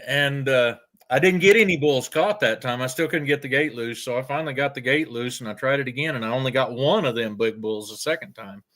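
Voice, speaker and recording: An older man, telling farm stories in a folksy, unhurried way with frequent pauses. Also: mid-range voice, a bit bright. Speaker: storyteller guest. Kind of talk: casual storytelling.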